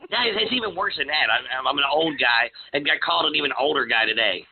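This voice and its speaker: A man's voice: on the high side, fast. A man in his forties hosting a casual radio talk show, stammering and poking fun at himself. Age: 40s. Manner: stammering, self-mocking. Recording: muffled phone-like internet radio.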